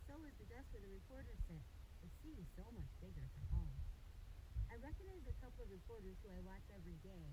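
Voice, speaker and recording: A woman's voice: mid-range voice, very noisy. Woman reading a children's novel aloud. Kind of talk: audiobook-style narration of a children's novel.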